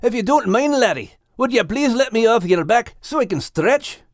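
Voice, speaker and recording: A man voicing a feisty, good-hearted male dog with a Scottish accent and a gravelly, plain-spoken delivery. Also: extremely high pitch, lively intonation, normal speed, no room sound, clean studio sound, voice pushed up. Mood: mild, fond. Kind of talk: audiobook character acting and show hosting.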